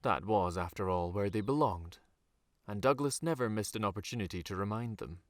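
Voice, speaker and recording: A man narrates a fantasy novel in a fairly low voice. His delivery is scripted and measured, with dramatic phrasing and deliberate pauses. The recording is dry, with hardly any room around the voice. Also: no echo. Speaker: author reading his own novel.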